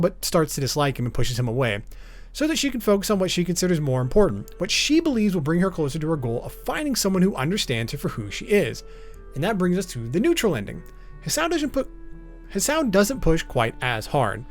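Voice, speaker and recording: A man narrating an explainer video in an informal, semi-scripted way. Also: sits high.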